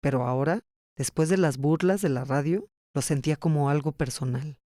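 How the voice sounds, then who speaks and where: A man's voice: a little high, normal speed, dark tone, dry recording. A man reading scripted documentary narration. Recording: music behind. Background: music.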